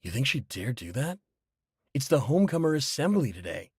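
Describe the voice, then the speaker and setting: An actor playing a hot-headed, resentful pirate in an anime game, brash and scornful in delivery. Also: male voice, medium pitch, very animated, fairly quick, slightly rough, dry recording, clean studio sound, heavily acted. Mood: scornful, angry. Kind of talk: English anime game voice acting.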